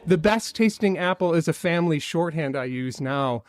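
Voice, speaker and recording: A man's voice: a little high, lively intonation, normal speed, no room sound. Male minister, conversational sermon, light wry humor, dry pickup. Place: church sanctuary.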